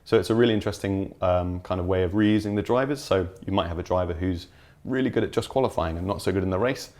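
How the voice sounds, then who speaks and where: A man's voice: low voice, fast, a little mellow. A man chatting informally and enthusiastically as a game developer explaining features in an interview. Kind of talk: casual explanatory chat.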